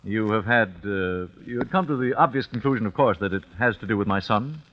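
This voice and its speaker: An actor playing a secretive, wealthy father and dinner host in an old radio drama, speaking in a measured, formal way. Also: on the low side, lightly acted. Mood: controlled, polite. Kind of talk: 1940s radio drama acting. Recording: muffled old radio recording, faint music.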